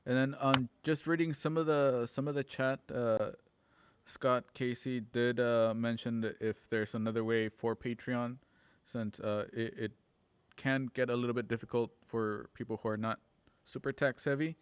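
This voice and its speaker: A man hosting an online show, chatting casually and haltingly on a livestream about plans for it. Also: medium pitch, steady tone, clean tone, no room sound. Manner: halting, informal. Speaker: host and organizer of an online radio drama show. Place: at home on a multistream video call.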